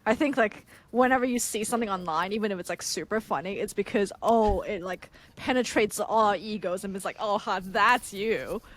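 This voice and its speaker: A woman's voice: mid-range voice, a little fast, few pauses. A woman co-hosting a podcast, talking in a casual, reflective way and laughing often. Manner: animated, laughs a lot, reflective. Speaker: podcast co-host. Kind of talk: casual chat.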